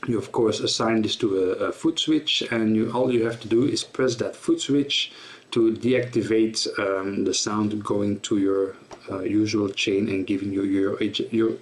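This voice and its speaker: A man giving an informal tutorial explanation as a presenter, in a halting, self-correcting way. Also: on the low side, fairly even intonation. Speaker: presenter of guitar gear tutorial.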